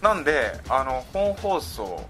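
Animated, bright-voiced man hosting. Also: medium pitch, slow, soft background music. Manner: jokey, self-deprecating. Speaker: podcast host. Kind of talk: casual comedic banter.

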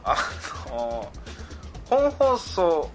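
A man's voice: fairly high pitch, huge pitch swings, extremely slow, faint music behind. A man hosting a podcast in casual, jokey, self-deprecating banter. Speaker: podcast host.

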